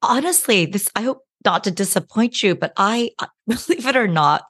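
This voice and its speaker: A middle-aged woman, a law professor and author, answering interview questions in an animated way and laughing often. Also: medium pitch, moderate pace, husky, no room sound. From United States.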